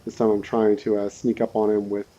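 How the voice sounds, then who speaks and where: A man's voice: on the low side, completely flat delivery, a little fast, lo-fi sound, background noise. A man giving casual, rambling, laid-back commentary as he hosts a let's play. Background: game music and rain.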